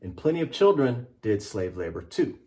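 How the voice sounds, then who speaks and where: A man's voice: medium pitch, very animated, moderate pace, dark tone. A middle-aged man, a children's author, presenting and reading a picture book aloud with an emphatic, rhythmic delivery.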